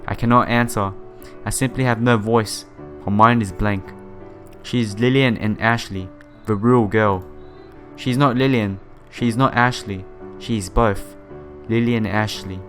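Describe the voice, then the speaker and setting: A man's voice: on the low side, fairly even intonation, a little slow, fairly bright tone. A man hosting a visual novel playthrough, reading and commenting casually, sometimes stumbling over his words. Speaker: gaming video host. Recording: music behind the voice. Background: music.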